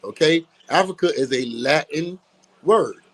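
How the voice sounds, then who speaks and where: A man's voice: fairly high pitch, animated, measured pace, a bit bright. A man teaching in an emphatic, preachy way, repeating phrases and laughing often. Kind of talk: street-teaching talk.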